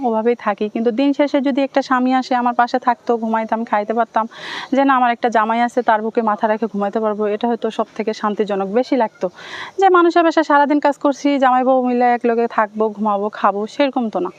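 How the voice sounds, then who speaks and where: A young Bangladeshi woman speaks in a dull, lo-fi recording. Her voice sits a little high and she talks fast. She gives a personal account in a pleading, repetitive way, as an interview guest. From Thakurgaon, Bangladesh. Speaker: interview guest telling her life story. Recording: dull lo-fi sound.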